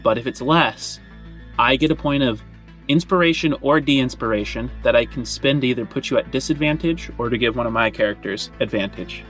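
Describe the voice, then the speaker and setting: A man's voice: medium pitch, brisk, fairly bright tone. Casual male game master over music. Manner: casual, conversational storytelling. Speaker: game master and show host. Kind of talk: hosting and narrating a tabletop game. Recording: background music under voice.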